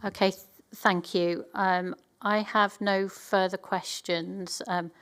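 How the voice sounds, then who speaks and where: A woman's voice: mid-range voice, level tone, slow, fairly bright tone, smooth. A woman inspector chairing a formal hearing, polite and lightly joking as she hands over to the next speaker.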